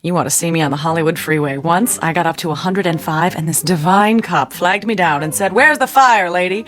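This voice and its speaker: An actress playing a flamboyant, flirtatious movie-star aunt in a radio drama, gushing and theatrical with teasing endearments. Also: female voice, fairly low pitch, fairly quick, a bit bright, close to an everyday voice. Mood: playful, flirtatious.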